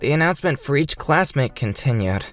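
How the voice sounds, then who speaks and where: A woman's voice: rumbling low, animated, fairly quick, faint music behind. A woman voicing an earnest, bewildered fifth-grade boy who narrates his own story in a manga dub. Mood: resigned. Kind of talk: scripted first-person narration in a manga dub.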